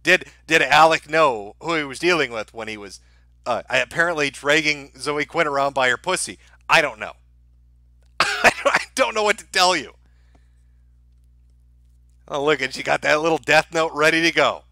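A man's voice: on the high side, lively intonation, unhurried, long pauses, pushed and bright, dry recording, studio quality. A man giving casual livestream commentary as host, with a sardonic, mocking and profane way of talking.

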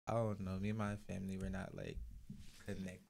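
A young man from Jamaica with a Jamaican and Brooklyn accent, chatting softly as a podcast guest, often trailing off with fillers. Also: deep voice, flat delivery, a little fast, gravelly. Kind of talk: casual chat.

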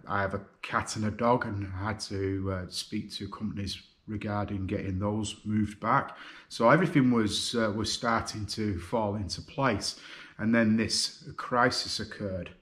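A man in his fifties with a Lancashire accent, giving a personal video statement from his living room, earnest and halting with many fillers. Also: fairly low pitch, fairly even intonation, fairly bright tone. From North West England. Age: 50s. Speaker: video contributor. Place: living room, seated on a sofa.

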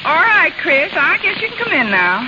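A woman's voice: very high voice, big pitch swings, moderate pace. An actress playing a jilted, scheming carnival woman in slangy American 1930s radio drama. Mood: falsely friendly. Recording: muffled, hissy, crackling 1930s radio recording.

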